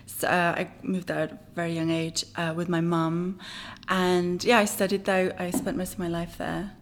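A woman's voice: fairly low pitch, little pitch movement, moderate pace, background noise. A woman in her thirties with a London accent chats through her life story as a podcast guest. Her telling is loose and conversational, full of fillers and little laughs. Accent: British, London. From born in Iran, grew up in London. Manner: chatty storytelling, laughs often. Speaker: guest actress. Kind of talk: casual podcast interview.

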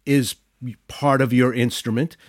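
A man of around sixty interviewing conversationally, building his questions gradually with halting, thoughtful phrasing. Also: mid-range voice, level tone, dry recording, studio quality. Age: middle-aged or older, around 60.

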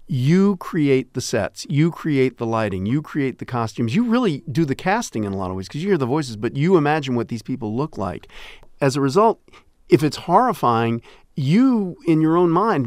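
A man's voice: a little high, dry recording, studio quality. An enthusiastic man in his sixties chatting and explaining as an interview guest, acting out little quotes as he goes. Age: 60s. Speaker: radio show host and guest. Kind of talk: animated conversational interview. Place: dry studio.

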